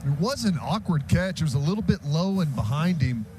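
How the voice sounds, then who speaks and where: An American man analyzes football on live radio with a slightly high voice. He explains plays in a conversational way. Very loud stadium noise surrounds him. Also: lively intonation, brisk. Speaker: color commentator. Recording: very noisy stadium radio broadcast.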